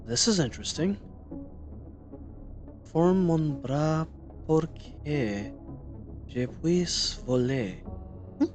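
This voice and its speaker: A man playing a curious, bookish young adventurer with an inquisitive, expressive delivery in a fantasy audio roleplay. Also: on the high side, exaggerated voice. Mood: amazed.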